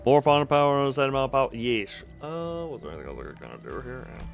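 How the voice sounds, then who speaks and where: A man's voice: mid-range voice, animated, quick pace, gravelly, faint music behind. A man giving casual, playful live-stream gameplay commentary, rambling and muttering asides to himself.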